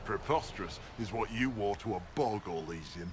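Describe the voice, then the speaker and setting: Actor as gruff, lowish barbarian mountain shaman. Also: lively intonation, moderate pace, noisy background, lightly acted. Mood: grim, unimpressed. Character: blunt, world-weary barbarian mountain shaman warrior. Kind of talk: video game character acting.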